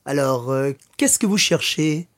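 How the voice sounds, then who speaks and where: A man's voice: fairly high pitch, animated, moderate pace, a bit bright. Polite, friendly passer-by, played by a man. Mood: polite, helpful. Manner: polite, conversational, natural hesitations. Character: friendly, helpful male French passer-by. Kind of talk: acted language-course dialogue.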